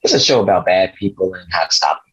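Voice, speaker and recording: An awkward, earnest driver played by a man with a lowish, husky voice. He explains things gently and haltingly, as in a film script reading. The audio is a little dull. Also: lively intonation, heavily acted. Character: awkward, earnest male driver Chaz, kindly conspiracy believer. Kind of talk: table read of a film script. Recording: dull, slightly muffled audio.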